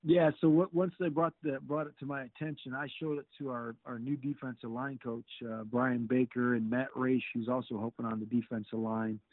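A man's voice: mid-range voice, little pitch movement, no echo. Middle-aged coach guy on a phone line, stop-start talk, repeats himself a lot, dry thin sound. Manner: halting, repeats words often, upbeat. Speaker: football defensive coordinator. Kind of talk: interview answers.

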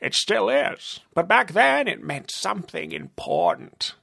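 A man's voice: sits high, very animated, measured pace, creaky, sharp tone, slightly rough, no room sound, studio quality, pitched above the performer's own voice, full character voice. A man voicing a sly, pampered male club-owner villain who talks in a smug purr. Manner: purring, smug.

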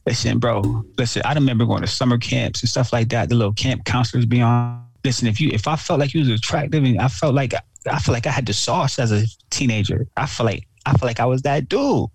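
A man co-hosting a casual talk show, talking in a slangy, animated way, often repeating himself and calling his friend bro. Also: medium pitch, fast, gravelly, slightly rough. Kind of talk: casual chat.

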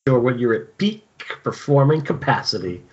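A man's voice: medium pitch, very animated, normal speed, lightly acted. A man reading bit parts in a script table read. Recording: muffled, noisy internet call.

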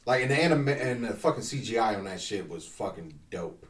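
A man co-hosting a podcast in casual group chat, animated and profane, laughing often. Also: on the low side, fairly quick, far from the mic, a loud crowd. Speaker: podcast co-host.

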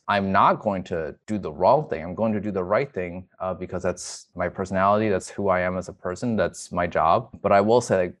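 A man speaking earnestly and reflectively as he gives a personal account in an interview, often repeating words for emphasis. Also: low-pitched. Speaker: interviewee, emergency physician.

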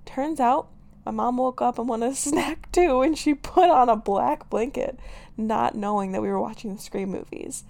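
A young woman hosting her own podcast, chatting casually and giggling, her voice raspy today with lots of filler words. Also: on the high side, normal speed, a little mellow. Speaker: podcast host. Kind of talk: casual solo podcast chat.

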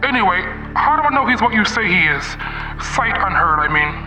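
A man acting a shady, domineering, fast-talking instrument dealer in an old-time radio mystery, boastful and slangy. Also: high-pitched, sing-song. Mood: boastful, wary. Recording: roomy, distant, music underneath.

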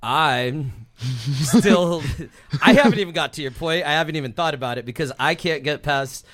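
A man's voice: fairly high pitch, normal speed, a bit bright. A man co-hosting a casual podcast who steers the conversation, laughs a lot and teases the others. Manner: leads topics, laughs often, playful teasing. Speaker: podcast co-host. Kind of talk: casual chat.

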